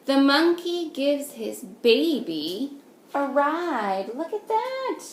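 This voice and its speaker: A woman teacher reading a picture book aloud to young children, patiently, in a sing-song way, pausing to ask them simple questions. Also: high voice, sing-song. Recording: echoey room with faint noise.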